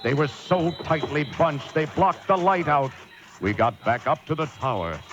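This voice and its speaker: A man's voice: on the high side, lively intonation, normal speed, natural voice. An actor playing a haunted lighthouse keeper who recounts his ordeal in vivid, dramatic first-person radio horror narration. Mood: grim dread. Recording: dull lo-fi radio tape, music behind.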